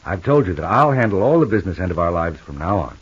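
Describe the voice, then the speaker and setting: A dull old radio recording holds a devoted, stubborn husband in a soap opera. His voice is fairly low and rough, with lively rises and falls. He is affectionate and offhand, yet firm about looking after his wife. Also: fast talker, heavily acted. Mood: firm, loving. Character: protective, stubbornly loving husband, a famous actor.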